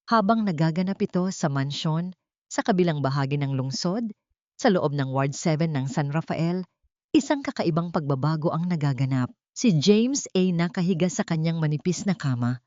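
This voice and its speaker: A woman's voice: low-pitched, lively intonation, moderate pace, darkish tone, no room sound, muffled recording. A woman narrates a scripted dramatic story in a solemn, theatrical manner. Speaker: audiobook narrator.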